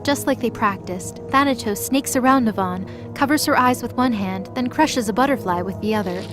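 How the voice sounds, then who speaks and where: A woman's voice: a little high, normal speed, over music. A woman giving scripted, dramatic narration as the storyteller of an audio drama.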